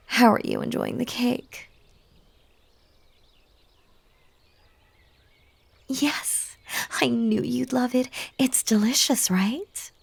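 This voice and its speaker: A breathy, sweetly menacing bunny woman, acted by a woman in an intimate audio roleplay. She speaks slowly with a creaky edge, slipping toward whispers. Her manner is clingy and coaxing, gushing one moment and stern the next, and the sound is close and dry. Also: fairly high pitch, a little mellow, dry recording, exaggerated voice. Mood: sweet, coy. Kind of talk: roleplay audio drama acting.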